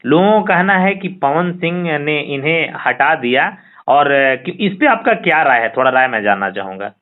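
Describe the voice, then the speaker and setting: A man's voice: a little high, animated, normal speed. Male interviewer on a tinny phone line. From Bihar, India. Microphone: telephone. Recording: tinny, narrow-band phone call recording.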